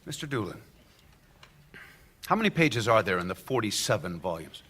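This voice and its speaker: An actor playing a male attorney cross-examining a witness in a radio play, methodical, deliberate and pointed in his questions. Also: lowish voice, big pitch swings, fairly bright tone, breathy edge, heavily acted. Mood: probing, pointed.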